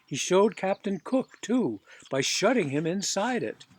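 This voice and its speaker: A male reader narrates a children's story. His voice is pitched a bit above the usual for a man, and he reads the scripted text expressively. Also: sing-song, measured pace. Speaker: audiobook reader.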